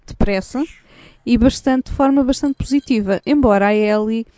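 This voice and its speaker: A woman hosts a relaxed outdoor conversation by a windmill and teases her guest playfully. Her voice sits somewhat high. The recording is close and dry. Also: normal speed, no room sound. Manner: teasing, playful. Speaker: host. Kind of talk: casual interview chat.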